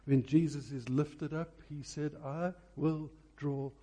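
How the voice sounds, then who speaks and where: A man's voice: a little high, fairly even intonation, slow, warm tone. A man preaching a sermon, phrasing emphatically and repeating key words for effect.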